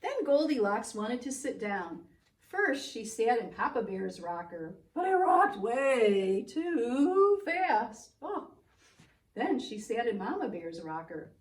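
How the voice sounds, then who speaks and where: A woman tells a children's story as a preschool teacher would, expressive and exaggerated. Her pitch swings widely and her pace is unhurried. The sound is roomy, from a little way off the microphone. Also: distant mic.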